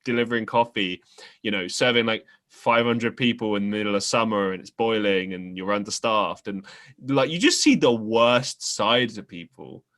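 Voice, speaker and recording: A man hosting a podcast interview, chatting casually in an animated, self-deprecating way. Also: lowish voice, normal speed. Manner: animated, self-deprecating, frequent laughs and restarts. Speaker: podcast host.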